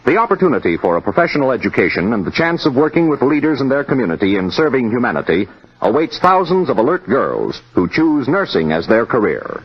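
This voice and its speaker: A man's voice: mid-range voice, big pitch swings, fairly quick, breathy edge. An American man working as a radio announcer, reading a script in formal 1950s broadcast style. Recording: dull old radio recording.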